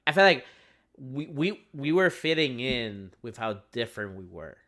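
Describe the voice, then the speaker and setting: A young man from Venezuela co-hosting a podcast, chatting casually and reflectively with frequent pauses and restarts. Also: medium pitch, sing-song, fairly bright tone. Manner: hesitant, restarts often, reflective. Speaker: podcast co-host. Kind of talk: casual chat.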